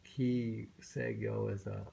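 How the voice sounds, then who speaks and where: Slow, low-voiced gravelly man reading poetry. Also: level tone, mellow, a little hiss or hum. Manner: halting, musing, with pauses and self-questioning. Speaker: reader and host discussing poems. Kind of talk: informal poetry reading with rambling commentary.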